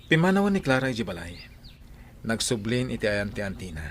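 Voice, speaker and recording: Lively, deep-voiced evasive husband. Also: male voice, a little fast, a little hiss or hum. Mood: defensive, dismissive. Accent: Ilocano. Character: proud, evasive husband who left his pregnant wife. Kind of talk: Ilocano radio drama acting.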